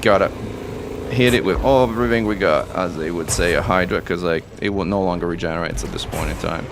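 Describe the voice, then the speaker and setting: Brief: low-pitched man from Italy, Italian first language, eager running game commentary, clear background noise. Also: brisk. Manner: excitable, talks through each move while playing. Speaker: streamer and commentator. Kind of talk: live gameplay commentary.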